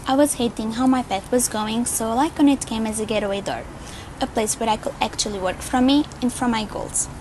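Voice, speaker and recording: A woman's voice: fairly high pitch, brisk, noisy background. A woman in her early twenties from Lisbon with a Portuguese accent, giving an earnest testimonial. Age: early 20s. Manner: earnest, grateful. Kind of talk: testimonial video.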